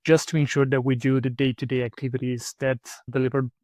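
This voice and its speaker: A man's voice: medium pitch, little pitch movement, fast talker, a little mellow, dry recording, studio quality. A man from Brazil, a guest on a podcast, thoughtfully explaining his work, often repeating words as he searches for the next one. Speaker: podcast guest, program manager. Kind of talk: conversational interview answers.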